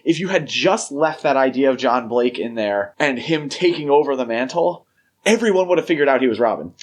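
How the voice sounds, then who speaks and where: A man with a middle-pitched voice talks as a podcast co-host. His delivery is informal and conversational, with "like" dropped often into his sentences. It sounds like a casual discussion of films between co-hosts. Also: lively intonation, fairly quick. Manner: informal, peppers speech with "like".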